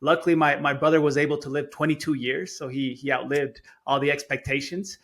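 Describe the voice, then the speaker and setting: A man's voice: a little high, steady tone, fairly quick, fairly bright tone. A middle-aged man from Chile whose first language is Spanish, telling a personal story earnestly as a podcast guest, often repeating words as he starts phrases. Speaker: guest speaker. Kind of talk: storytelling in an interview.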